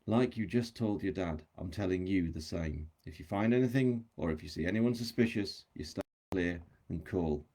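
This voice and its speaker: A British man reading a novel aloud as a gruff, protective policeman, blunt and cautioning. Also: low voice, normal speed, few pauses, mellow. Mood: firm, cautioning. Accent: British English. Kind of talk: audiobook-style novel reading.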